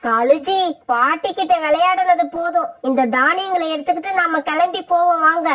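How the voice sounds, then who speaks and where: A woman's voice: very high voice, moderate pace, a bit bright. A woman voicing a selfish, thieving female crow in a cartoon moral story, scheming and demanding. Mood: bossy. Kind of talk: animated moral story dialogue.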